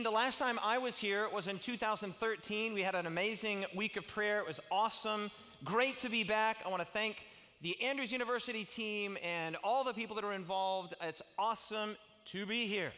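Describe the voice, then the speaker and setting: A middle-aged male pastor speaking to a campus audience from a stage, telling jokes and stories in an animated way. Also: sits high, moderate pace. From not Australian, lives in Australia. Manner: animated, joking storyteller with big gestures. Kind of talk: sermon with opening prayer. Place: large auditorium stage with audience. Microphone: headset mic. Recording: auditorium with long reverb and faint music.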